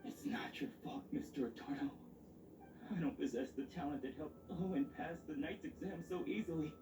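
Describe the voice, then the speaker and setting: An actor playing a guilt-ridden young knight medic in a video game, speaking haltingly and breathily in pleading tones. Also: male voice, fairly high pitch, reverberant, distant mic, background noise, natural voice. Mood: bitter self-blame. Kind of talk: dramatic video game voice acting.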